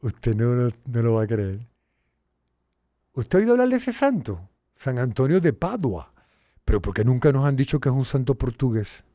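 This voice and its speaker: Puerto Rican male host, animated and emphatic, lively pitch, dry studio sound. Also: normal speed, no room sound. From Puerto Rico. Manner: animated, emphatic, swings between low asides and loud exclaims. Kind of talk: casual educational talk.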